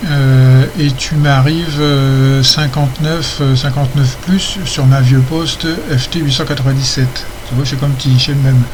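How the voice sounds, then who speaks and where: A man's voice: medium pitch, steady tone, measured pace, few pauses. A man chatting casually as an amateur radio operator, rambling and hesitant. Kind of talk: casual ham radio chat. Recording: noisy amateur radio session recording.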